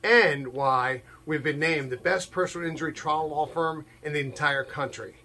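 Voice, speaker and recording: An older man delivering an emphatic, scripted advertising pitch. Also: moderate pace, sharp tone. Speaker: ad spokesman. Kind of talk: scripted ad read.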